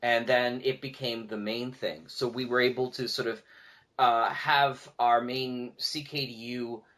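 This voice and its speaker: A man's voice: mid-range voice, little pitch movement, unhurried, bright, forceful tone. Chatty man telling stories, mid-range voice. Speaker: podcast guest, audio drama producer. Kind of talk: casual conversational storytelling.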